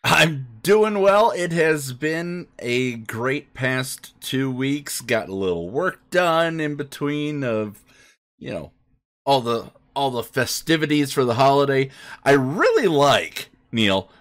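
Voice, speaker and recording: A man co-hosting a podcast in a casual chat, often pausing between phrases. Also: mid-range voice, fairly bright tone. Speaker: podcast co-host.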